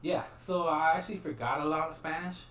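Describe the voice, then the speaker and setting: A young man from Texas and Iowa, chatting casually among friends, lively and quick to laugh and tease. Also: a little high. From Texas and Iowa, USA. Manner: lively, laughs often, teasing. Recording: roomy, distant mic, faint hiss.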